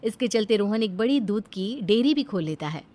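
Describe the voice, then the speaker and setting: A woman's voice: medium pitch, animated, moderate pace, mellow. A woman reading scripted narration for a cartoon family story as its storyteller.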